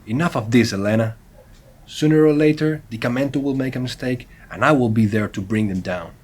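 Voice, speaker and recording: A man's voice: medium pitch, brisk, darkish tone, slight background noise, natural voice. A man playing a serious, wary Spanish-speaking monster hunter in an audio drama, with terse and formal phrasing. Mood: defiant. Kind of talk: horror audio drama acting.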